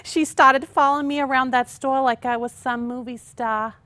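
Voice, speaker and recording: A high, excitable voice carries this scheming, chatty married woman played by an actress in a comic stage reading. She tells her tales emphatically and pushes her ideas persuasively. The sound is dry, with little room on it. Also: moderate pace, no room sound. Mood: smug storytelling. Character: pushy, scheming, chatty younger married sister Mary, mother of kids.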